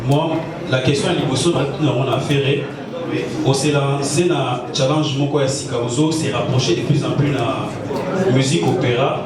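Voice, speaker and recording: A man's voice: medium pitch, steady tone, measured pace. A man asking a hesitant question as a journalist at a press conference. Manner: hesitant, restarting phrases. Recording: echoey hall, far mic, crowd noise.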